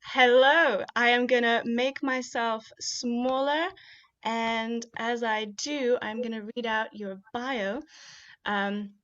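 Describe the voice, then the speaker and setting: A woman's voice: fairly high pitch, fairly even intonation, slow pace, fairly bright tone. Upbeat woman hosting a poetry stream. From Kenya. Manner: upbeat, chatty, with fillers between remarks. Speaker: host of an online poetry showcase. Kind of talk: live-stream hosting and spoken-word poetry reading. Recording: dull, muffled live-stream audio.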